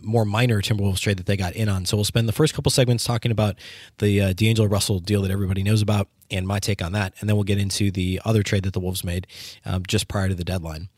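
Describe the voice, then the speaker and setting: Hoarse, fast-talking man hosting a podcast. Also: lowish voice, no room sound, studio quality. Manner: fast rambling analysis with many ums and restarts. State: sick with the flu, hoarse. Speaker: podcast host. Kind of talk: solo sports podcast commentary.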